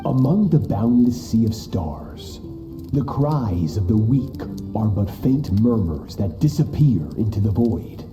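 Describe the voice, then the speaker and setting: An actor playing a ruthless, battle-hungry sword master in a video game, speaking in a cold, haughty, grandiose manner. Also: male voice, on the low side, lively intonation, a little slow, over music, poor audio. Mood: proud, imperious. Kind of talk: dramatic video game dialogue.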